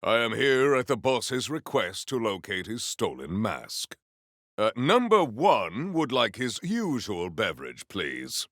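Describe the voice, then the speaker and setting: An actor playing a grave, honour-bound warrior with wry formality and archaic, theatrical diction in a video game. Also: male voice, on the low side, huge pitch swings, slow pace, a bit bright, no room sound, clean studio sound, exaggerated voice. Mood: wry, stern. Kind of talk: video game character voice lines.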